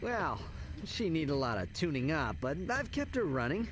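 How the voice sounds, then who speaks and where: An actor playing a scrappy, wisecracking young male alien hero mechanic in a video game, casual, cheeky and expressive. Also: fairly high pitch, normal speed, noisy background. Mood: casual, uneasy. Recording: very loud music behind the voice.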